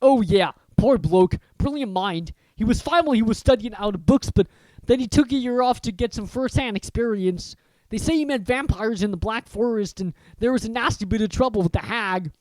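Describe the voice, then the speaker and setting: A reader voicing a huge, kindly groundskeeper with homely, rough-edged speech. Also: medium pitch, normal speed, a little mellow, dry recording, voice pushed up, lightly acted, poor audio. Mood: cheerful. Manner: homely rustic speech, dropped grammar. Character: huge warm-hearted male groundskeeper, rough-spoken.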